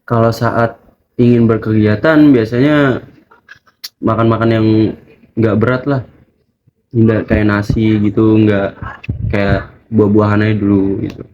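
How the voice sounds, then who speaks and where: Muffled, lower-voiced young man. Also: little pitch movement, measured pace, long pauses, darkish tone. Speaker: student interviewee in a group discussion. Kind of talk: plain interview answers. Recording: muffled, noisy lo-fi recording.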